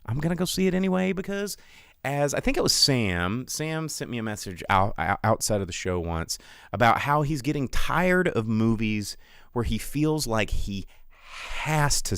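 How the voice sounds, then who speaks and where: A man's voice: on the low side, big pitch swings, a little slow, creaky, fairly bright tone, clear, resonant tone, dry recording, clean studio sound. A man hosting a live talk show, giving casual, opinionated commentary in a slangy, sweary way and acting out imagined quotes.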